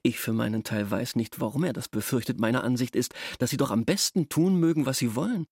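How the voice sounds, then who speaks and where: A stiff village schoolteacher, resentful and officious. His medium-pitched voice is recorded very dry and close, and he phrases everything formally, with open disapproval, in a radio play manner. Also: fairly quick, a little mellow, slightly rough, no room sound. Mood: officious, disdainful. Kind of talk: German radio drama acting.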